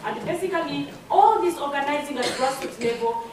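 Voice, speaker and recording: A woman from Uganda presents to a conference panel, halting and repeating herself as she goes. Her fairly high voice comes from a distance in a very echoey hall. The audience murmurs behind her. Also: lively intonation, crowd noise. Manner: hesitant, many fillers and repeated words. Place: conference room.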